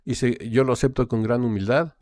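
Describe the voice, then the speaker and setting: A man's voice: mid-range voice, fairly even intonation, a little mellow, no room sound. Guy translating live on a reaction vid, lots of ehs and estes, dry close sound. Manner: hesitant, filling pauses with "eh" and "este" while translating. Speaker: reaction channel host. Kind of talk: casual live translation and commentary.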